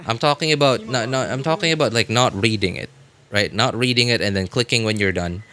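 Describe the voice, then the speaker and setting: A man's voice: mid-range voice, fairly quick, fairly bright tone. Rambling man live-streaming a game. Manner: rambling, repeats and rephrases himself. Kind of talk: casual live gameplay commentary, chatting with viewers.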